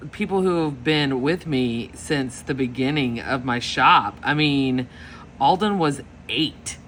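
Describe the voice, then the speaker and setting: A woman's voice: extremely low pitch, pushed and bright. A woman, rambling through a story full of fillers while planning, with faint background noise. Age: 40s. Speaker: planner vlogger. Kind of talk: casual chat while planning.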